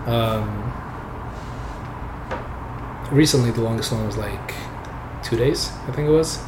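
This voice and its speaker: A man's voice: mid-range voice, slow. Laid-back young man in an echoey room. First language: not English. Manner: relaxed, rambling, laughs often, many "like"s. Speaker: software developer guest. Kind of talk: casual interview chat. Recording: echoey room with background noise.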